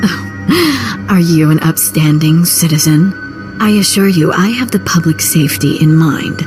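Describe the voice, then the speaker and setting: An actress playing a brash, sardonic, streetwise crime broker in a video game. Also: female voice, lowish voice, animated, a little slow. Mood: sarcastic. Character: brash, sardonic female underworld fixer. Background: loud music.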